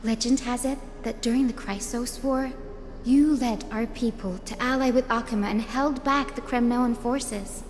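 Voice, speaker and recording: A woman voicing a gentle, polite young priestess healer in a video game, courteous and earnest. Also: on the high side, fairly even intonation, normal speed, a little hiss or hum, close to an everyday voice. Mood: reverent. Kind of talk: video game character acting. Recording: long reverb, music behind.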